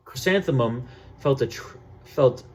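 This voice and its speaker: A man reading a children's story aloud in an expressive way, acting out the characters' lines. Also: mid-range voice, unhurried, a little hiss or hum. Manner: animated, acts out characters' lines. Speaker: storybook reader.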